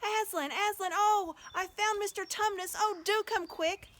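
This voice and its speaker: A woman voicing an excitable young girl who exclaims eagerly and full of wonder in a storybook. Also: very high voice, a little slow, slight background noise, pitched above the performer's own voice. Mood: overjoyed, urgent.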